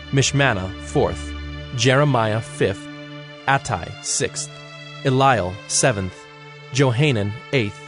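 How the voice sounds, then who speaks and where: A man narrating dramatized scripture in a solemn, measured, formal way. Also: medium pitch. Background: music.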